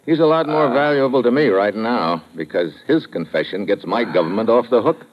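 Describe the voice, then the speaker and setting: An actor playing a tough, wry American secret agent in an old-time radio drama, terse and slangy in dialogue and narration. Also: male voice, medium pitch, expressive, fairly quick, lightly acted. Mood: wry, in control. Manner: terse, slangy, hard-boiled. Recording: muffled, noisy vintage radio broadcast.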